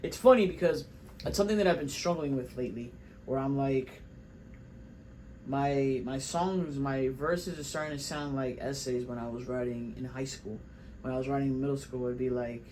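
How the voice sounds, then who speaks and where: A man's voice: mid-range voice, background noise. A young man, a rapper from South Florida talking casually and at length in his recording studio, rambling and repeating himself. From Miami, South Florida. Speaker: rapper and vlogger. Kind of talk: casual studio vlog talk.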